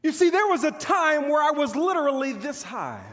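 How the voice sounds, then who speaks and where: A man performing a theatrical stage monologue as a proud, bitter army commander, pompous and declamatory, with dramatic pauses. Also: very high voice, big pitch swings, pushed and bright, full character voice. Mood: boastful. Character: proud, boastful male army commander of ancient Israel's era, bitter. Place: large church hall stage. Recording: echoey hall with long reverb.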